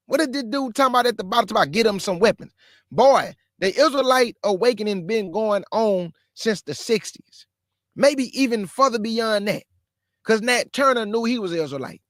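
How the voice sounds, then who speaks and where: Male host with a high voice and dry sound, scolds in slang while reacting to clips. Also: fairly bright tone, dry recording. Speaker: show host. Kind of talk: reaction commentary.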